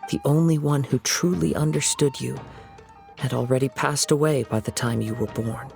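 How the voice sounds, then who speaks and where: A man voicing a calm young wandering samurai in a video game, composed and principled, speaking in a measured, formal, poetic way with a soft, light voice. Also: mid-range voice, creaky, music in the background, lightly acted. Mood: grave, composed.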